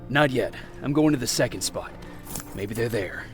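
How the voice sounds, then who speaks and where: An actor playing an earnest, terse resistance soldier in a video game, with a plain, businesslike manner. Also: male voice, medium pitch, animated, normal speed, close to an everyday voice. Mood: alert, businesslike. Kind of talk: video game drama acting. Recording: loud game music behind the voice.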